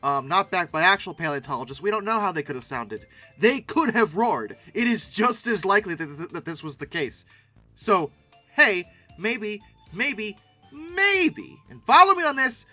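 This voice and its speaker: A man's voice: sits high, bright, forceful tone. A man giving casual, humorous commentary in a theatrical way, repeating words and adding mock-exasperated asides. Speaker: host reviewing old paleoart.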